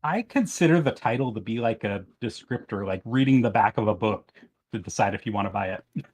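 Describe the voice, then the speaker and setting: A man's voice: on the low side, expressive, a little fast, a little mellow. A man speaking casually as a voice actor guest on a podcast. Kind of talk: casual chat.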